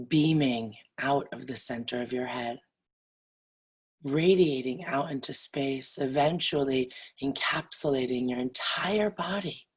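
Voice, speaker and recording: A woman with a low, breathy-rough voice guides a meditation. She speaks very slowly and deliberately, leaving long pauses between short phrases. Her delivery is soothing and instructional throughout. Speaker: yoga nidra meditation guide.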